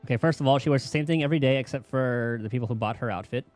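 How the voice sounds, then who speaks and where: A man's voice: medium pitch, very fast, a little mellow, faint music behind. A man giving casual, rambling gameplay commentary as a streamer, in an offhand way. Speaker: game streamer.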